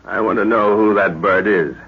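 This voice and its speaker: An actor playing a steady, authoritative professor and father on safari in old radio style, with brisk old-fashioned exclamations. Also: on the low side, slightly rough. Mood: calm, commanding. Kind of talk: 1940s radio acting. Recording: muffled, noisy old radio recording.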